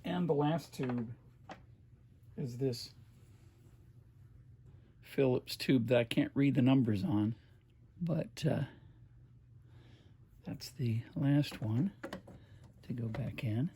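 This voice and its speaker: A man giving a casual hands-on demonstration, talking in an unhurried, halting way as he works. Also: mid-range voice, warm tone, slight background noise. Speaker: host of a vintage radio restoration video.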